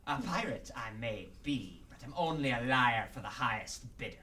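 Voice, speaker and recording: A man's voice: medium pitch, lively intonation, normal speed, pushed and bright. Man playing a sly pirate villain, distant-sounding. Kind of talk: radio drama acting. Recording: distant mic, noisy ambience.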